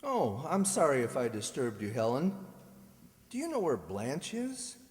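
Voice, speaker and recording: Formal professor with swooping voice. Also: male voice, mid-range voice, huge pitch swings, unhurried. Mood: polite, impatient. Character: commanding professor, master of the house. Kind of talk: old-time radio thriller acting. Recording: echoey hall radio-play recording.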